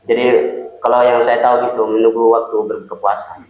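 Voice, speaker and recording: Young man from Southeast Maluku, medium pitch, slow halting explanations, muffled boomy sound. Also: little pitch movement. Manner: explains slowly with pauses and "eh". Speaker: guest. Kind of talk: casual chat. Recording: echoey room, dull sound.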